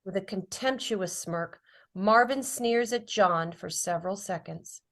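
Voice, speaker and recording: A woman's voice: mid-range voice, unhurried, fairly bright tone. A woman reading stage directions as scripted narration at a drama table read.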